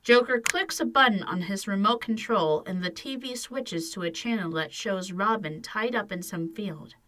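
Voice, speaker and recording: A woman's voice: mid-range voice, moderate pace, fairly bright tone, rough recording. A woman narrating an audio drama from a script as its storyteller.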